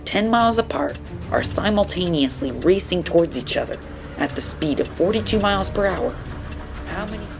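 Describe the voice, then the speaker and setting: A woman playing a bright, modest young boy in an audio drama, polite and questioning, thinking aloud. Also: medium pitch, animated, moderate pace, music in the background, close to an everyday voice. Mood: puzzled, pondering. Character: bright, modest, earnest orphan boy taking a test.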